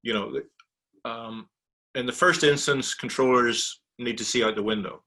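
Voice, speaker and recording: A man speaking as an industry panelist, explaining points in a measured, conversational way. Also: mid-range voice, bright, forceful tone. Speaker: technology provider, panelist.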